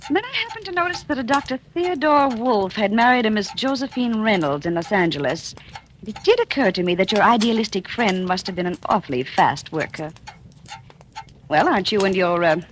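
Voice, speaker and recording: An actress in a 1940s radio drama playing a cold, scheming wife with a cool, haughty, mocking manner. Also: female voice, mid-range voice, lively intonation, a little fast, lightly acted. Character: cold, scheming wife, middle-aged woman. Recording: old radio broadcast with faint hiss.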